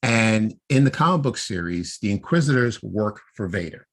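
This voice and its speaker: A man's voice: on the low side, expressive, moderate pace. A man co-hosting a casual podcast, laying out his fan theories and often checking in with "right?". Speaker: podcast co-host.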